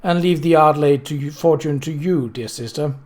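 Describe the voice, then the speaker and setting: A man's voice: a little high, normal speed, a little mellow, lightly acted. A man voicing a composed upper-class lady in a storybook, mild but firm and lightly ironic. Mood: lightly teasing.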